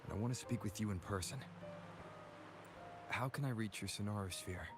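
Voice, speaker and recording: An actor playing a calm, determined young male hero in a video game, speaking directly. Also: on the low side, normal speed, close to an everyday voice. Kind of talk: scripted video game voice acting. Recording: loud music under the voice. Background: game music.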